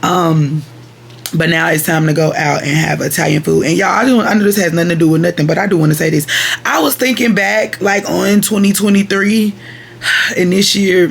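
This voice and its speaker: Woman vlogger, raspy and gravelly, rambling and chatty. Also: lowish voice, steady tone, moderate pace, slight background noise. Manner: rambling, chatty, direct with viewers. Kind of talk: casual chat to viewers. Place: at home at her vanity.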